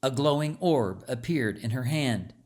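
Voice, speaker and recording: A man's voice: mid-range voice, animated, measured pace, a little mellow. A man reading a story aloud as a scripted audiobook narration.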